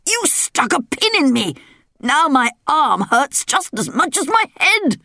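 A woman's voice: sits high, very animated, measured pace, sharp tone, no room sound, clean studio sound, voice pushed up, full character voice. A woman reading aloud voices a grumpy, complaining bald king who roars and moans about everything. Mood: roaring. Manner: roaring, moaning, indignant.

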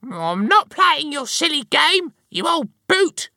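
Storybook grumpy wife: very high-pitched, sharp, scornful, dopey and snorting. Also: male voice, big pitch swings, measured pace, gravelly, dry recording, studio quality, exaggerated voice. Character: dopey, grumpy, ugly middle-aged wife, scornful and bellowing.

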